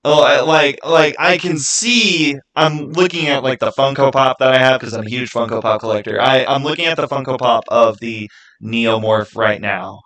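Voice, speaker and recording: Male livestream host, mid-pitched voice, casual and halting, doubles back on his words while chatting to viewers. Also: a bit bright. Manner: halting, repeats words and phrases.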